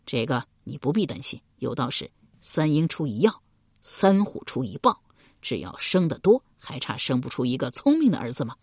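A woman's voice: a bass voice, big pitch swings, normal speed, slightly rough, no echo, studio quality, full character voice. A woman storyteller playing a ruthless, ambitious husband from ancient times, domineering and coldly persuasive. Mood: angry, domineering.

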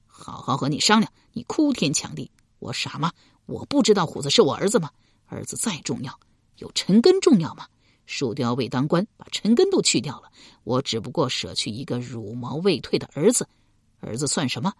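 Woman doing a low, husky, ruthless scheming husband. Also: big pitch swings, normal speed, no echo, clean studio sound, heavily acted. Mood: angry, domineering. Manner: domineering, curt, coldly persuasive. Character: ruthless scheming husband, ambitious ancient court cook.

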